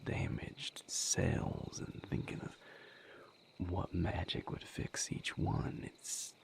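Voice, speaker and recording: A man acting as a gentle vampire healer in an intimate audio roleplay. His low voice is heavy with fry and often falls to a breathy whisper. He talks slowly and haltingly, wry, a little weary and thoughtful. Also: wildly swooping pitch, a little hiss or hum, full character voice. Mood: patient, thoughtful. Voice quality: breathy, gravelly near-whisper. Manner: halting, reflective, casually profane.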